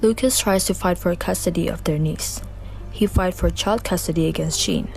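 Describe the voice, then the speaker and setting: A woman's voice: lowish voice, moderate pace, creaky, soft background music, low-quality recording. A woman narrating a student radio drama in a careful, plain reading with slight grammar slips.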